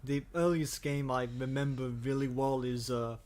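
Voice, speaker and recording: A man's voice: medium pitch, fairly even intonation, moderate pace, darkish tone. A man co-hosting a podcast in casual chat, often hesitating and repeating words as he goes. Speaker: podcast co-host.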